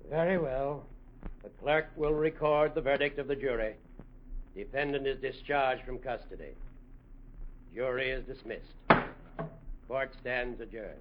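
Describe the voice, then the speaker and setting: A muffled vintage radio recording of an actor playing a stern male judge. He speaks formally and with measured disapproval, at a medium male pitch. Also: background noise, natural voice. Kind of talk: 1940s radio drama acting. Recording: dull old radio recording.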